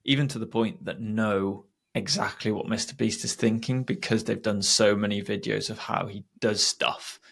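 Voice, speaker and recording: A man's voice: fairly low pitch, steady tone, moderate pace, gravelly, few pauses, a bit bright. A man co-hosting a podcast in casual chat, chiming in with short remarks. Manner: brief agreeing interjections. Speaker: podcast co-host.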